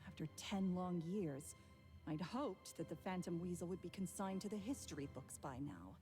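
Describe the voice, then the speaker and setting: An actress playing a bitter, cunning woman thief who speaks in a measured, deliberate way in a video game. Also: on the low side, animated, mellow, close to an everyday voice. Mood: weary, accusing. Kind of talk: dramatic video game voice acting. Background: game music.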